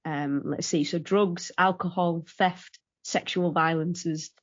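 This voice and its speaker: A woman's voice: on the low side, steady tone, slow pace, no room sound, dull audio. A woman, a guest on a podcast, talking casually and telling stories in a friendly way. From United Kingdom. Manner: friendly, laughs easily, storytelling. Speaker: guest, founder and CEO. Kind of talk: casual interview chat.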